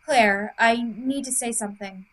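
A woman as a high-voiced, sentimental best friend. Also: moderate pace, lightly acted. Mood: heartfelt. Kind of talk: audio drama acting. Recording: music under the voice.

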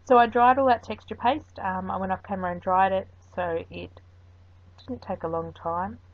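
A woman's voice: mid-range voice, steady tone, moderate pace, dry recording. A woman chatting her way through a voice-over craft tutorial, rambling a little with frequent ums. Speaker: craft video host. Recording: dull lo-fi recording.

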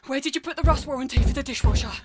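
An actress playing an exasperated, sardonic wife in a comic radio play, with a British accent and a scolding manner. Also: female voice, high-pitched, very fast, over music, natural voice. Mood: mock panic. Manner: dry, scolding, sarcastic. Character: exasperated sardonic wife, secretly fond of lurid drama.

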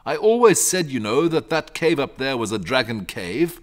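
A man voicing a calm, bookish young boy in a storybook, matter-of-fact, patient and slightly superior. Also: mid-range voice, moderate pace, voice pushed up, close to an everyday voice. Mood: calm, assured. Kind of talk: storybook character reading.

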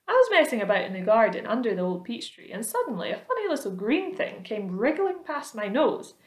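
Storybook centipede played by a woman reader: male, boastful pest, loud-mouthed, bossy, exclamatory; echoey room. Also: a little high, very animated, a little fast. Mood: excited. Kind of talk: children's storybook read aloud. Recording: roomy, echoing indoor sound.

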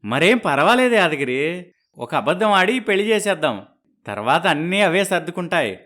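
A man's voice: sits high, big pitch swings, moderate pace, a bit bright. A man playing a breezy, persuasive middle-aged matchmaker with a scheming streak in a dramatized moral story.

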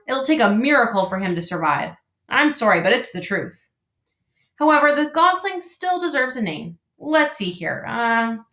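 A woman's voice: fairly high pitch, fairly bright tone, pitched above the performer's own voice. A woman voicing a bossy, motherly female goose who talks bluntly and chattily. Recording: roomy echo.

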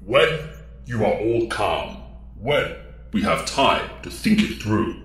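A man's voice: fairly low pitch, lively intonation, takes it slowly, a bit bright, reverberant. A man playing a stern, level-headed leader in an audio drama, speaking firmly and formally. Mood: stern, reasoning. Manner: firm, formal, insistent. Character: stern, level-headed male leader restraining young heroes.

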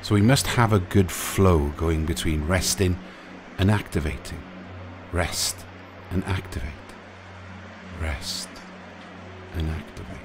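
Really deep slow guy doing hypnotic relaxation for sleep, soft soothing delivery, lots of pauses. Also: background noise. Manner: soothing, gentle, unhurried, short phrases with long pauses. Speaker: guided meditation narrator. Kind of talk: guided sleep meditation, hypnotic relaxation countdown. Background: music.

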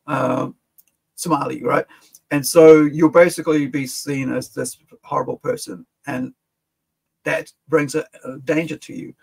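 A middle-aged man from Fiji with an American-sounding accent, rambling and animated as he reacts to a video from his desk in a small room. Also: fairly high pitch, measured pace, creaky, breathy edge. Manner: rambling and animated, with frequent restarts. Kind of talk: casual reaction commentary. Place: small room with collectible shelves, gaming chair.

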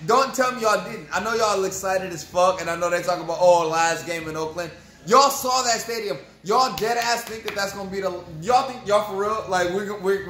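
A man's voice: high-pitched, bright, forceful tone, reverberant. A young man ranting profanely and with frustration to his viewers in a sports fan vlog, recorded at home in front of a camera. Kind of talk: ranting post-game fan review. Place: room at home, indoors.

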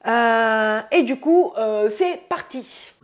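A woman in her thirties with a stuffy nose from a cold, chatting casually to her viewers about her groceries in a bright room, recorded on a lapel mic. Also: fairly high pitch, animated, takes it slowly, a little hiss or hum. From France. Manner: chatty, says "les amis" often, upbeat. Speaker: vlogger. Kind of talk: casual grocery haul vlog. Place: bright room indoors by a window.

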